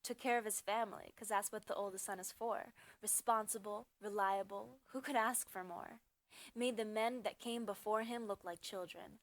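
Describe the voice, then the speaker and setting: A woman's voice: mid-range voice, steady tone. Woman performing dramatic slam poetry. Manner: dramatic, emphatic delivery in short measured phrases. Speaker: slam poet.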